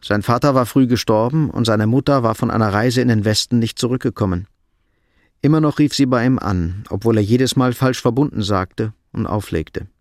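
A man giving sober, measured narration for a scripted radio drama. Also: mid-range voice, dry recording, studio quality.

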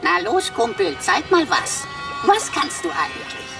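A woman's voice: sits high, big pitch swings, unhurried, gravelly, distant mic, music in the background, exaggerated voice. A woman playing a boastful little supercomputer in a German sci-fi radio drama, taunting and declaiming pompously.

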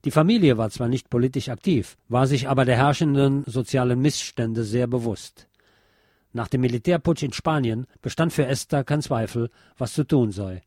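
A man's voice: medium pitch, moderate pace, warm tone, no echo, studio quality. A man reading a scripted history documentary as narrator. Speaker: narrator of a history radio series.

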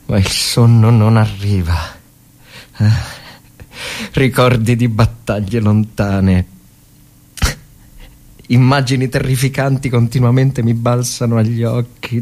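An actor playing a worn-out, haunted army officer in a theatrical war monologue, halting, repetitive and brooding. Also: male voice, on the low side, a little mellow. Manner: halting, repetitive, brooding, swinging between murmurs and outbursts. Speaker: actor reading a war story. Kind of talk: dramatic theatrical monologue from literary fiction.

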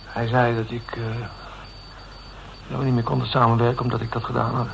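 Male actor as anguished confessing man, halting, stammering, mid-pitched, Dutch radio play, light background noise. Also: flat delivery, gravelly, close to an everyday voice. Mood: ashamed, halting. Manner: halting, stammering, repeats words. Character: frightened, guilt-ridden man confessing to his detective colleagues. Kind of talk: Dutch radio drama acting. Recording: noisy old radio drama recording.

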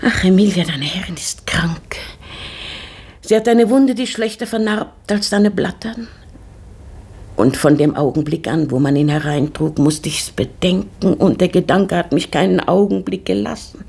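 An actress playing a grieving, brooding widowed lady of the house in a German radio play from the 1960s, halting and searching, often dropping into whispers. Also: on the low side, vocal fry, darkish tone, slightly rough. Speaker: Donna Francisca, mistress of the house.

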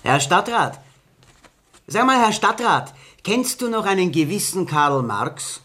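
An actor playing a combative, bitter Marxist party rebel in a radio play, with a blunt, sarcastic way of talking. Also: male voice, high voice, very animated, a little slow, sharp tone, full character voice. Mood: sarcastic, challenging. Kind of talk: German-language radio drama acting.

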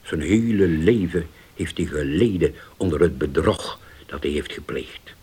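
A deep, husky-voiced older uncle in a radio drama. He speaks slowly and gravely, weighing his words with the patience of someone who has chosen forgiveness over revenge. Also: warm tone, heavily acted. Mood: solemn, deliberate. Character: grave uncle, once vengeful, now forgiving, soothing.

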